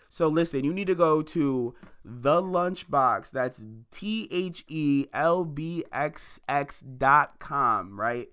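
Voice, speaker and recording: A man's voice: medium pitch, sharp tone, clear, resonant tone. A man in his twenties giving a preachy motivational monologue to listeners, repeating lines for emphasis. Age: 20s. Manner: addresses listeners as y'all and baby. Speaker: independent musician opening a podcast.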